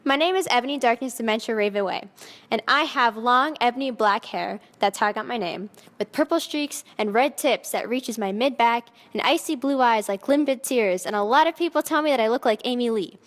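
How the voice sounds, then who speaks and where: A woman's voice: a little high, brisk, natural voice. A woman voicing a haughty, melodramatic seventeen-year-old goth vampire girl in a dramatic fan fiction reading, with emphatic teenage delivery. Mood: self-absorbed, dramatic. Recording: echoey hall with long reverb.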